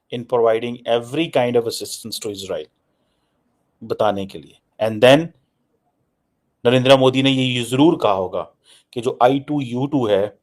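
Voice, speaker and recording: A man's voice: medium pitch, a little mellow. A man with a Pakistani accent giving informal political commentary, emphatic and repetitive, dropping English phrases into his talk. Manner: emphatic, repeats phrases for effect. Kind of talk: informal news analysis, mixing English into his speech.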